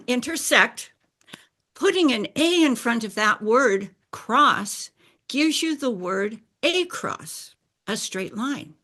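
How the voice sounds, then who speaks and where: Slow, bright-voiced older woman storyteller. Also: a little high. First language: Bulgarian. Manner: deliberate, explanatory, clears throat now and then. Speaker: speaker sharing a personal story. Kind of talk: slow scripted personal narration.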